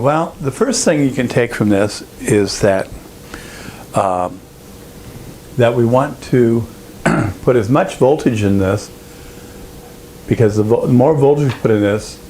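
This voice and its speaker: Man, lowish voice, technical instructor, explanatory and halting delivery, informal lecture, some background noise. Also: a little mellow. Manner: explanatory, halting, with frequent throat clearing. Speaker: presenter of an audio engineering lesson.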